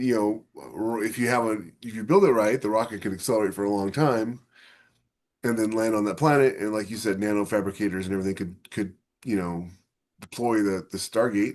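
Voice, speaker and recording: A man's voice: on the low side, darkish tone. A man co-hosting a podcast, talking casually and thinking aloud as he discusses science fiction ideas. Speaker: podcast co-host.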